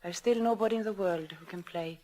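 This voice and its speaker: A woman's voice: on the low side, brisk, dark tone, a little hiss or hum, close to an everyday voice. An actress in a 1940s radio drama playing a tender, secretive woman in anguished love, earnest and romantic. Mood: poised, wistful. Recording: old radio broadcast with music behind.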